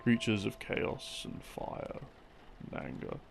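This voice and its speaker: A man telling a rambling story as a sleep aid, in a halting, musing way with pauses, in the role of a boyfriend explaining things. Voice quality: creaky, gravelly. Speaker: audio roleplay creator playing a boyfriend. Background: background music.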